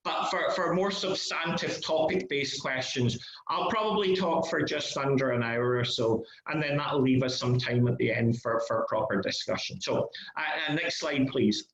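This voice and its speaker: A man's voice: mid-range voice, moderate pace, few pauses, a bit bright. A middle-aged man with a mixed Scottish and Northern Irish accent, giving an academic talk over a video call in a self-deprecating, hesitant way with frequent restarts. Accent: Scottish and Northern Irish mix. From Scotland. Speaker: guest lecturer. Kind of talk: academic presentation. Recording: echoey room, dull lo-fi call audio.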